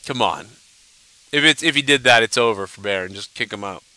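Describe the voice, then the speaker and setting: Young guy ranting on his stream, kinda stuffed up and nasal, bright crisp voice. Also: lowish voice, brisk, no room sound, poor audio. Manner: blunt, ranting, loose and profane. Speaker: livestream host answering viewer questions. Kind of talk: casual live-stream chat.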